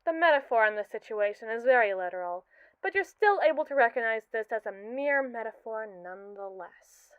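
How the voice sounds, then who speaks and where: A young woman voicing a haughty, scheming captive robot AI in a scripted roleplay, speaking in an arch, mocking and wordy way with slow, drawn-out emphasis. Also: a little high, expressive, smooth, dry recording, phone-quality sound, lightly acted. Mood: sardonic. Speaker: ASMR roleplay performer. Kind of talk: scripted ASMR roleplay monologue.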